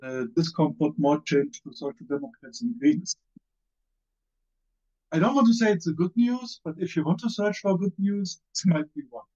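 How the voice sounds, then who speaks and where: German-accented male panelist on a muffled call, mellow tone, halting delivery, plenty of ums. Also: on the high side, animated. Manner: hesitant, many fillers and restarts. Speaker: panelist, former member of parliament. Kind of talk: online panel discussion. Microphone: video call.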